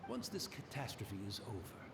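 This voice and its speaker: A humble blind old tea master, drifting through memories in a courteous, rambling way. His pitch rises and falls a lot, and his voice sits in a roomy space with a faint hiss behind it. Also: huge pitch swings, moderate pace, creaky. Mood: wistful. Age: older.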